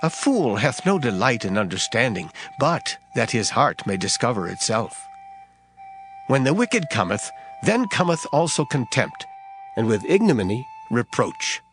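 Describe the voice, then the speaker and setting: A man's voice: mid-range voice, big pitch swings, fairly bright tone. Solemn male scripture reader over music. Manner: measured, solemn recitation. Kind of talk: dramatized scripture reading. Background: background music.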